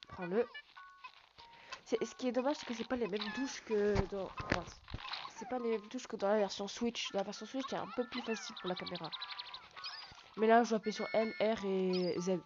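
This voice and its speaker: Brief: young let's-player with a cold, chatty commentary, dull audio, loud game music. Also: medium pitch, moderate pace. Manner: chatty, talks straight to viewers between game lines. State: has a cold. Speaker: let's play gamer. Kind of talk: casual gameplay commentary.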